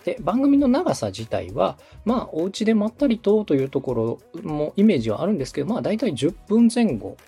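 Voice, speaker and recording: Guy explaining stuff step by step, husky voice, talks fast, polite coaching chat. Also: high-pitched, huge pitch swings, warm tone, faint music behind. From Osaka, Japan. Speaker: podcast host and radio director advising a beginner. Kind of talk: conversational podcast coaching.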